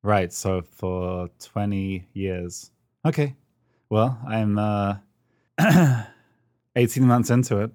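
A man's voice: on the low side, takes it slowly, long pauses, a little mellow, no room sound. A middle-aged man co-hosting a podcast over a call, talking casually with many ums and a dry, self-mocking humor. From United Kingdom. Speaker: podcast co-host. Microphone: remote call.

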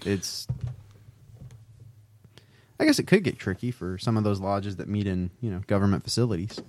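A man's voice: on the low side, moderate pace, a little mellow, a little hiss or hum. A man co-hosting a podcast, chatting casually in a wry, teasing way. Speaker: podcast co-host. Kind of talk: casual banter and discussion.

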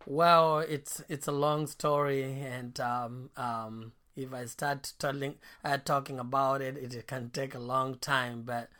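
A Kenyan man, a pastor guest, telling his life story in a halting way, with many restarts and fillers. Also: medium pitch, flat delivery, sharp tone. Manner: slow and halting, with many restarts and you knows. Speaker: pastor and ministry founder, guest. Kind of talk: interview storytelling.

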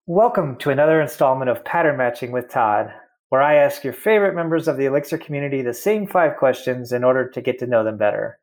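A man hosts a casual interview in a friendly, chatty way. He fills his speech with little fillers and keeps asking quick follow-up questions. His voice sits at a middle pitch. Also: animated. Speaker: interview host.

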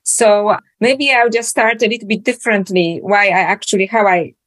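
A woman's voice: mid-range voice, fairly even intonation, moderate pace, low-quality recording. A woman from Poland with a Polish accent, speaking as a guest author in a podcast interview, reflective and searching, with many fillers and restarts.

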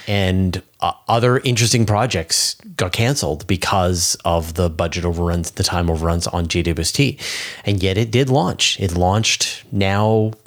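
A man speaking as an interview guest, explaining in a casual, enthusiastic way and thinking aloud as he goes. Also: low voice. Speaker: interview guest, space commentator.

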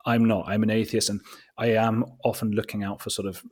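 A man with a husky voice, a guest telling casual, rambling anecdotes in a conversational, informal way, often interrupting himself. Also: fairly low pitch, flat delivery, fairly quick, darkish tone. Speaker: interview guest, documentary maker.

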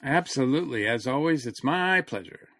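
A man's voice: medium pitch, expressive, normal speed, a bit bright, slight background noise. A man co-hosting a podcast in casual conversation. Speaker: podcast co-host. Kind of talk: casual chat.

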